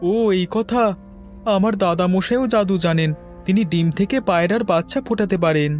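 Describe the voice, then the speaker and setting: A woman's voice: lowish voice, a little fast, a little mellow, natural voice. Cartoon role: curious, brooding village boy with questioning manner, played by a woman, light music bed. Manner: questioning, wondering. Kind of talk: Bengali fairy tale cartoon acting. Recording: music under the voice.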